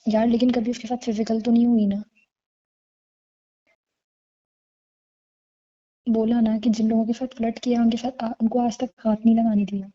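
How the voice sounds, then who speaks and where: A woman acts out a young girlfriend full of remorse in a phone-call drama. Her voice is fairly high and very soft-edged and mellow. She pleads earnestly and intimately, over a muffled lo-fi call recording. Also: little pitch movement, fast, natural voice. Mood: pleading.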